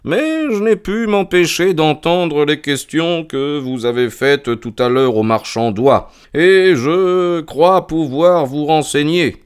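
A man voicing a shrewd detective in an audiobook, courteous and commanding, with deliberate, articulate delivery. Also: medium pitch, clear, resonant tone, voice pushed up, close to an everyday voice. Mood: smooth, assured. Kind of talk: audiobook character voice.

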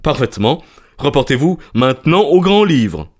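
A man voicing a shrewd detective in an audiobook, courteous and commanding, with deliberate, articulate delivery. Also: mid-range voice, big pitch swings, a little mellow, voice pushed up. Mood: shrewd, pleased. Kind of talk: audiobook character voice.

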